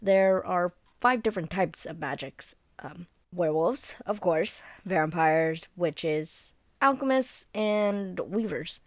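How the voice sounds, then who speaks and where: Woman acting a brisk, flustered, friendly werewolf beta. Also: lowish voice, expressive, no echo, clean studio sound. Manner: hesitant, rambling, informal explaining. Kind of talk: scripted one-sided roleplay audio drama, conversational.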